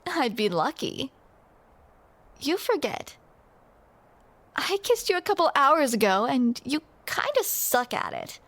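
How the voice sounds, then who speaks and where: A woman's voice: high-pitched, wildly swooping pitch, slow pace, pauses often, a bit bright. A young woman performing a scripted romantic roleplay to the listener, with playful, teasing banter.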